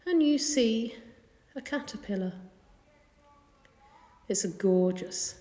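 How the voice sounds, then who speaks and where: A woman leading a guided meditation in a gentle, soothing, unhurried manner. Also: medium pitch. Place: indoor carpeted room. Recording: echoey room with long reverb.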